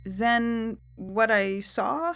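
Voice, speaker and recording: A woman's voice: fairly high pitch, extremely slow, a bit bright, exaggerated voice. A woman playing a confused, meek old woman, hesitant and deferential, in a science fiction audio story. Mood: bewildered. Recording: music bed under the voice.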